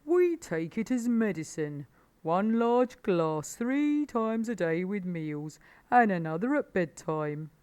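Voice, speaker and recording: A woman's voice: medium pitch, expressive, slow, a little mellow. A woman voicing a hearty, indulgent adult male badger in a storybook, savoring words with emphasis.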